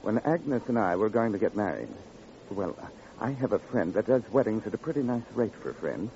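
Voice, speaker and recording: An American actor playing a cagey, folksy lonely-hearts club operator, evasive and familiar, in an old-time radio crime drama. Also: male voice, fairly low pitch, lively intonation, moderate pace, creaky, dark tone. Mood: confiding. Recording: muffled, noisy vintage radio recording.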